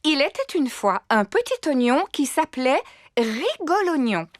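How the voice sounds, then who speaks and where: A woman's voice: sits high, very animated, measured pace. A woman voicing a cheerful, easily flustered mother pig in a children's cartoon dub.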